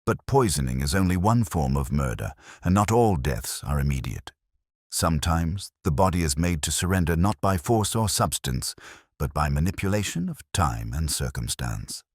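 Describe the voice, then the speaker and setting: Man playing composed Victorian detective, storybook reading, very low husky voice, precise, emphatic. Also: expressive, normal speed, no echo, studio quality. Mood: probing, deliberate. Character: analytical, composed Victorian male detective, coolly reasoning.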